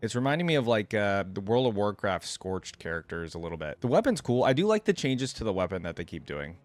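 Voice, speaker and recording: A man's voice: fairly low pitch, very animated, fast, no room sound. A man giving casual, informal reaction commentary as the host of a gaming channel, thinking aloud as he goes.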